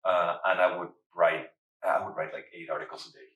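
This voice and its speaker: A man's voice: sits low, completely flat delivery, fairly quick, phone-quality sound. A man in his thirties from Mexico, chatting casually in an interview with lots of fillers. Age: 30s. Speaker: photographer and writer. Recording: roomy, distant mic.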